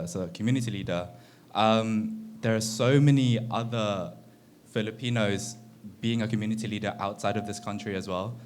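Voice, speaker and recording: A man's voice: on the low side, a little hiss or hum. A man speaking casually and enthusiastically as a member of a discussion panel.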